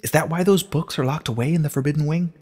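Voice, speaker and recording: A man's voice: a little high, fast talker, a little mellow, voice pushed up, lightly acted. A man puts on an earnest, bookish young pony princess for a storybook reading. The voice is lifted well above his own, urgent and insistent, full of questions. It rings with a long reverb. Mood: puzzled, pleading. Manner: urgent, questioning, stammering at times. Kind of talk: dramatic reading of a short story.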